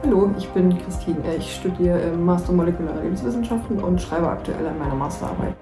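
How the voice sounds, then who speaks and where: A woman's voice: on the low side, fast. A woman in her twenties, a student introducing herself to camera. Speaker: master's student. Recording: echoey room. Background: faint music.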